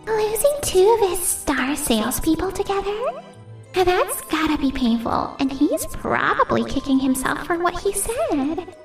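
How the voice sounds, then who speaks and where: An actress performing a spoiled, smug young woman in a romcom manga dub. Her voice is high and swings widely as she talks in dramatic, casual phrases. Soft music plays underneath. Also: big pitch swings, slow, heavily acted. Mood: gloating. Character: haughty young woman saleswoman, spoiled executive's daughter. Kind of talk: animated manga dub voice acting.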